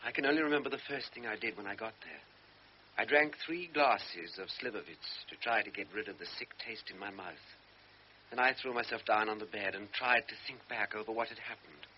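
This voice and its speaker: An actor voicing a young lieutenant's brooding inner monologue of guilt in a radio drama. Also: male voice, medium pitch, natural voice. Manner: inward, brooding first-person monologue. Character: guilt-ridden young lieutenant recalling his shame. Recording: dull old radio broadcast, faint hiss.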